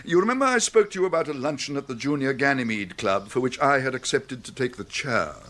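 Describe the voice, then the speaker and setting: An actor playing an unruffled gentleman's valet in a radio comedy, formal, measured and deferential, with an English accent. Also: mid-range voice, lively intonation, natural voice. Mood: polite, composed. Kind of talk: radio comedy drama acting.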